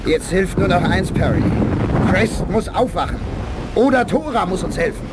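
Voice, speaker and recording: Agitated, high-pitched male spaceship officer. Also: moderate pace. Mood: urgent. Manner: blunt, colloquial, excitable. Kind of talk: 1980s German radio play acting. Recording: loud rumbling noise behind the voice.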